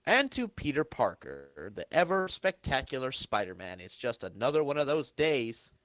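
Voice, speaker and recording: A man's voice: mid-range voice, wildly swooping pitch, moderate pace, fairly bright tone, no room sound. A man hosting a podcast, informally narrating a comic book in dramatic fashion with banter. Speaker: podcast host reading narration.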